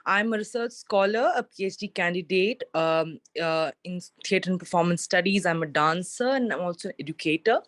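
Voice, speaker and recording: A woman's voice: lowish voice, moderate pace, hardly any pauses, no room sound, studio quality. A woman from India, a researcher and educator, introducing herself on a video call. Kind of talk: self-introduction.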